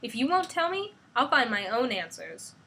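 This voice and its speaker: A woman's voice: on the high side, very animated, moderate pace, sharp tone, slight background noise. A woman voicing a headstrong teenage girl hero who pushes back with raised, indignant lines. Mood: defiant.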